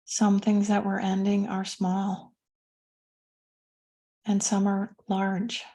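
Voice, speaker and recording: A woman leading a guided meditation as a teacher, speaking gently and soothingly with pauses between phrases. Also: mid-range voice, monotone.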